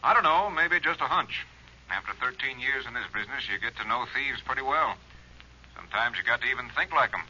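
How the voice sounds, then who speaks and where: Eager, folksy desk cop, muffled old radio sound. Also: male voice, mid-range voice, expressive, a little fast, pushed and bright, breathy edge, exaggerated voice. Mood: chummy. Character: folksy, chatty male auto theft cop. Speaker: auto theft officer. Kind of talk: 1940s radio drama acting.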